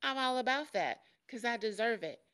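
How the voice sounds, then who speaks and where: A woman in her forties from Chicago hosting a podcast, talking frankly and jokingly in a deep, nasal voice. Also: expressive, moderate pace, a bit bright, slight background noise. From Chicago, USA. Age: 40s. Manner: frank and joking, laughs often. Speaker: podcast host. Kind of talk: casual candid monologue.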